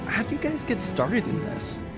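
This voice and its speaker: A man's voice: very high voice, sing-song, a little slow, full character voice. A man playing a wry, skeptical adventurer in a science fiction audio drama, speaking in a casual, questioning way. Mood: gently probing. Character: wry, reluctant male puzzle-solving adventurer, skeptical companion. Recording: music playing under the voice.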